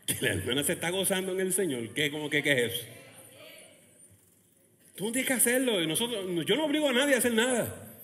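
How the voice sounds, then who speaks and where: A man preaching a lively sermon as pastor, laughing and acting out churchgoers' voices. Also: high voice, brisk, long pauses. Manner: animated preaching with laughs and acted quotes of churchgoers. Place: church hall, Texas. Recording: long hall reverb.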